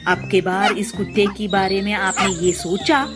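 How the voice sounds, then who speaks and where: A woman's voice: mid-range voice, flat delivery, moderate pace, close to an everyday voice. A woman voicing a chatty, kind-hearted female bird in a children's cartoon, with an exclamatory, caring manner. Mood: angry scolding. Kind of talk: children's cartoon story acting. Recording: clear music behind the voice.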